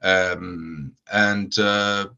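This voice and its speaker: Low-voiced man with many fillers, lo-fi. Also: fairly even intonation, drawn-out words, gravelly, bright, forceful tone, smooth. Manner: hesitant, many fillers and repeated words. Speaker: supporters club founder, guest. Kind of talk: casual podcast chat. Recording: dull lo-fi audio.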